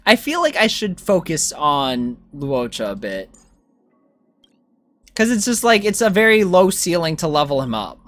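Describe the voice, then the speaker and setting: A casual game streamer who thinks out loud and talks with chat in an informal, animated way. The pitch moves around a lot. The sound is dry, with faint music underneath. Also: measured pace, dry recording. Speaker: game livestreamer. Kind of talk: casual gaming stream chat, thinking aloud and replying to viewers.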